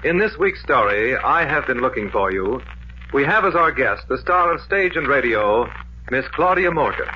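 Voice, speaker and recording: A man announcing a radio drama in a formal, measured 1940s style. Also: medium pitch, very animated, a little hiss or hum. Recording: muffled 1940s radio broadcast with music.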